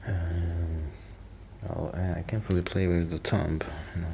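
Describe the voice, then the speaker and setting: A man with an extremely low, gravelly voice speaks softly, as in an ASMR roleplay. He rambles shyly and hesitantly, like a friend chatting casually. There is clear noise in the recording. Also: flat delivery, a little slow. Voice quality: soft-spoken, sometimes dropping toward a whisper. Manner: shy, apologetic, hesitant and rambling. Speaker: ASMR roleplay performer playing a musician friend.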